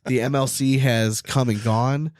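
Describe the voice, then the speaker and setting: A man's voice: medium pitch, steady tone, measured pace, darkish tone, no room sound, studio quality. A man co-hosting a podcast, chatting casually and telling stories in an informal, conversational way. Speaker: podcast co-host.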